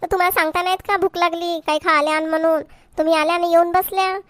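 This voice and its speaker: A woman's voice: very high-pitched, level tone, fast talker, dry recording, studio quality. A woman playing an exasperated, hard-working village wife in a comedy cartoon, complaining sharply in a Varhadi Marathi accent. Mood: matter-of-fact. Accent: Varhadi Marathi from Vidarbha. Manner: scolding, complaining, sharp-tongued.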